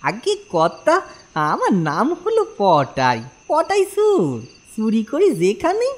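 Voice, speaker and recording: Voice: mid-range voice, wildly swooping pitch, slow, exaggerated voice. An actor voicing a cheeky, simple-minded male thief in a comic cartoon, with a whining, folksy, mock-humble way of talking. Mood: proudly silly.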